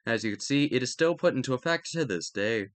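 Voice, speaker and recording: Male educational reader, mid-pitched, highly animated intonation, prone to small slips and self-corrections, dry close recording. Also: a little fast, no room sound. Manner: stumbles over words and corrects himself. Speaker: reader and host of study videos. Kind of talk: reading a textbook aloud with added comments.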